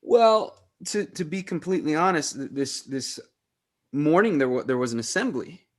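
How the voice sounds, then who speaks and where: A man playing an awkward, earnest teenage brother in a sitcom table read, stammering and halting. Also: on the high side, animated, close to an everyday voice. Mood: nervous bluffing.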